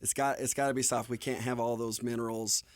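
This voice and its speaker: Male guest expert, mid pitch, conversational explainer, dry studio mic. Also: little pitch movement, brisk, no echo. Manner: adds "right?" to check agreement. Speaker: guest water company representative. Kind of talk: casual explanatory talk. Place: radio studio. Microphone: close studio microphone.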